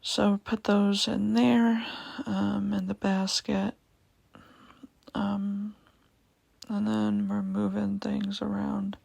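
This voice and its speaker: A young woman with a raspy voice giving a casual vlog voiceover, hesitant, with many ums, and repeating herself. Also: mid-range voice, level tone, very slow, long pauses, a little mellow, no room sound. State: raspy voice, going in and out. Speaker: vlogger.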